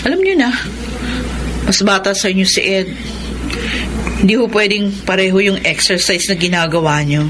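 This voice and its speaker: A woman's voice: mid-range voice, animated, normal speed, long pauses, noisy background, lightly acted. A young woman acting a bitter, grieving elder sister with barbed remarks in a Tagalog radio drama. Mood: pointed, cold.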